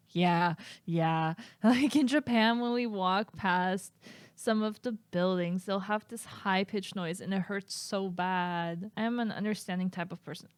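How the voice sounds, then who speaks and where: A woman's voice: on the low side, clear, resonant tone, no echo. A young woman streaming, reading test questions aloud and answering them in a casual, hesitant way, often laughing. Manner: reads items aloud then answers with hesitant, giggly asides; self-describes as flat and monotone.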